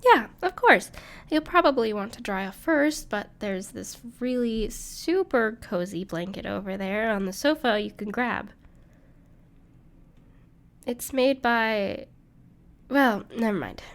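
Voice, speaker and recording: A woman playing a gentle, caring dragon friend in an intimate audio roleplay, speaking reassuringly to the listener with some hesitation. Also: fairly high pitch, takes it slowly. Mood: warm, hospitable. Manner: soft-hearted, reassuring, hesitant with small laughs.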